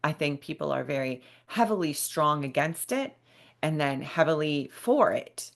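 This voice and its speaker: A woman's voice: sits low, expressive, unhurried, gravelly. A woman in her fifties speaking earnestly and emphatically to listeners as the host of a solo podcast. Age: 50s. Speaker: podcast host and bereavement specialist.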